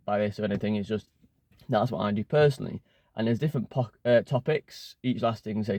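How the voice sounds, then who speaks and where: A man's voice: medium pitch, a little mellow, dry recording. A young man with an English accent, talking casually into his phone from inside his car and often stopping to restart his sentences. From England. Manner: rambling, with many fillers and restarts. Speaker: language learning vlogger. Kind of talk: casual talk to camera.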